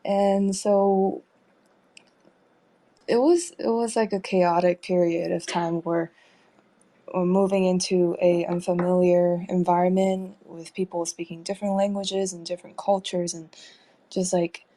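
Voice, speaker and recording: Young woman from taiwan chatting as a guest, mellow voice, lots of ums. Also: on the low side, a little slow. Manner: relaxed, laughs easily, hesitant fillers. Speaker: artist guest. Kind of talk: casual interview chat.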